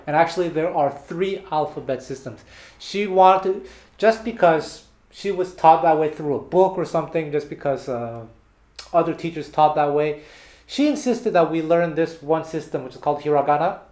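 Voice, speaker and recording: A man in his thirties giving a casual guitar lesson, explaining lively and gesturing between bits of playing, filmed by a fixed camera in a small bare room. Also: on the high side, moderate pace. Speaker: guitar teacher. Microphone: fixed camera at a few meters. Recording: roomy echo with guitar playing.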